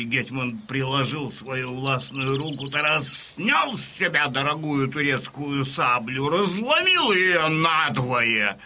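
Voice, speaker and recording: A man acting a proud wounded Cossack veteran who tells war news in a declamatory, deliberate way, in an audio drama. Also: medium pitch, bright, forceful tone, full character voice. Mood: solemn, stirring.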